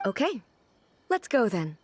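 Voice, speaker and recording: A woman's voice: on the high side, sing-song, a little slow, a little hiss or hum. An actress playing a dignified, earnest and literal-minded woman ruler in a video game, speaking formally and inquisitively. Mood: composed. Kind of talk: English video game voice acting.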